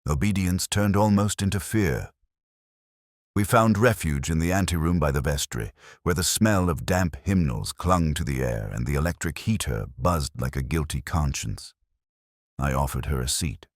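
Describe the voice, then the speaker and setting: A man plays a weary middle-aged detective telling his own case as audio drama. His voice is extremely low and moves expressively up and down, with a measured, brooding, literary delivery. The recording is close and dry. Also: lively intonation, dry recording. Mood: brooding, reflective. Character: weary, razor-minded middle-aged English male police inspector narrating his case. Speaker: narrator. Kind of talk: scripted first-person detective audio drama narration.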